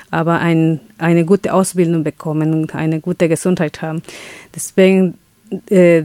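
A woman with an Ecuadorian Spanish accent and Quechua as her first language speaks in a somewhat low voice during an interview. She hunts for words, starting sentences over and filling pauses. The recording is dry and close. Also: dark tone, no room sound. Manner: searching for words, frequent restarts and fillers. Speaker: guest, chocolate business founder. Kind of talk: interview answers.

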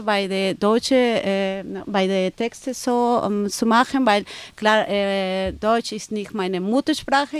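Woman speaking halting German. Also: mid-range voice, clear, resonant tone, no echo. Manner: halting non-native German with many fillers and restarts. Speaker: photographer and event organizer. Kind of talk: interview answers.

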